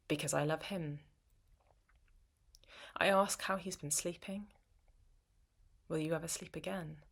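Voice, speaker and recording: Young woman, deep gravelly voice, for intimate dramatic spoken word. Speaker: poetry performer.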